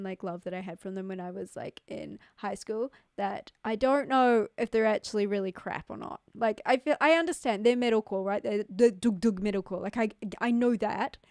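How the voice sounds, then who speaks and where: A young woman with a New Zealand accent chats casually to her stream audience, loose and filler-heavy. Her voice is smooth and resonant at a middling pitch. The sound is dry and close, with no room around it. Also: darkish tone, no room sound. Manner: chatty, many "like" and "um" fillers, laughs easily. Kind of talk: casual livestream chat reading viewer messages. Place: home streaming setup in Queensland. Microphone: studio mic close up.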